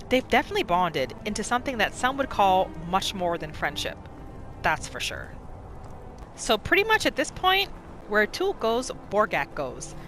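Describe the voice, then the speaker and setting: A woman giving casual, chatty roleplay commentary as the host of a gaming channel, narrating in an expressive way. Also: on the high side. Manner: expressive, chatty, narrating characters' thoughts in third person.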